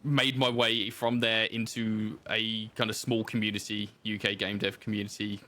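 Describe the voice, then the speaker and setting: A man's voice: fairly low pitch, level tone, normal speed, bright, forceful tone. A man telling his story in casual interview chat, informal and self-deprecating, as a podcast guest who designs games.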